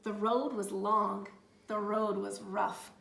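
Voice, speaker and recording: A woman reading a children's storybook aloud with expressive, theatrical phrasing and drawn-out words. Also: mid-range voice, creaky, slight background noise. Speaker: storybook reader.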